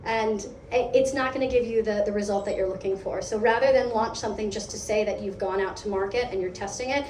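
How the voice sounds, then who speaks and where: An American woman leading a product team gives a lively, conversational meetup talk, asking the audience questions. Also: mid-range voice, fast. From United States. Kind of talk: live conference talk. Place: event hall. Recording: echoey hall, distant mic, faint hum.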